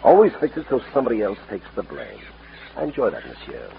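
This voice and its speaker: Suave villain in old radio western, lowish swoopy voice, french phrases, muffled hissy sound. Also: male voice, wildly swooping pitch, quick pace, gravelly, heavily acted. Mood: smug, gloating. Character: smug, cultured, cold-blooded male outlaw posing as French gentleman.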